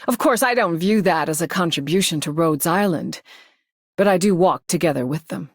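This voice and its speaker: A composed, proud female mentor from a game. Her voice is low, measured and solemn, with a dry, close sound. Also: animated, no room sound. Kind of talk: scripted game character voice lines.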